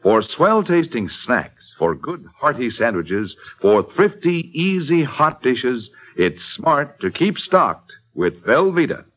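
A man's voice: medium pitch, very animated, slow, few pauses, highs cut off. A man with an American accent announcing and selling in polished 1940s radio style.

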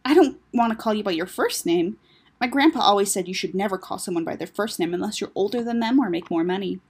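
A woman's voice: medium pitch, lively intonation, quick pace, breathy edge. A woman voicing a polite, earnest eight-year-old boy in a story reading.

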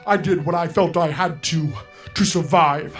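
Man acting a formal, high-voiced alien superhero. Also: fairly bright tone, close to an everyday voice. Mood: solemn. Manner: formal, measured, dramatic. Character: male alien telepath superhero, earnest, guarded, solitary. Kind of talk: superhero radio play acting. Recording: music playing under the voice.

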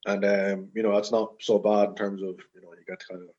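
A man's voice: fairly low pitch, flat delivery, quick pace, a little mellow, slightly rough. A young Irish man in his twenties chatting casually and ramblingly as a podcast guest. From Ireland. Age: 20s. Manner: rambling, informal. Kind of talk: casual personal chat. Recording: slightly dull, lo-fi audio.

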